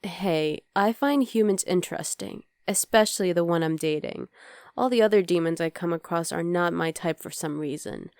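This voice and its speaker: A woman playing an affectionate, playful young demon girlfriend in an intimate ASMR roleplay, speaking softly to the listener. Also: lowish voice, moderate pace, dry recording, clean studio sound, lightly acted. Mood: earnest.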